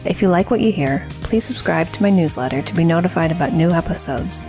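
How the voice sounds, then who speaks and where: A woman hosts a podcast in a low, gravelly voice. She reads her introduction from a script in a measured way and puts questions to her guest, with faint music behind her. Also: expressive, mellow. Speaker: podcast host.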